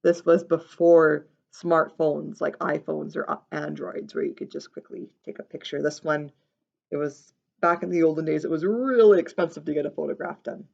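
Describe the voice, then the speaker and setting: Woman storyteller, creaky voice, slow expressive read-aloud, simple child-friendly asides, slightly dull recording. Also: sits low. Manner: unhurried, explains things simply to young listeners. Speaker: storyteller reading a picture book aloud.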